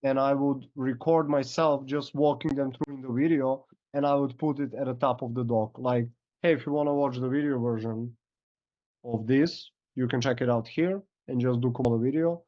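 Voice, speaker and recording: Hoarse man coaching on a call. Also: medium pitch, steady tone, moderate pace, no room sound. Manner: loose, filler-heavy explaining. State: hoarse, losing his voice. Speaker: coach giving feedback. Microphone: video call. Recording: dull, lo-fi video call audio.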